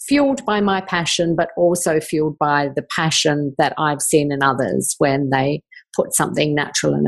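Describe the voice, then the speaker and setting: A woman's voice: lowish voice, rough recording. A middle-aged woman, telling her own story reflectively as an interview guest. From New Zealand. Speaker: guest, skincare product maker.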